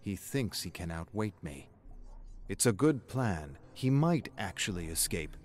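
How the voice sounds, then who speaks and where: Man acting a defiant low-voiced survivalist. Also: big pitch swings, measured pace. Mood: stubborn. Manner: defiant, brooding. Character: stubborn, bitter young male survivalist arguing with himself.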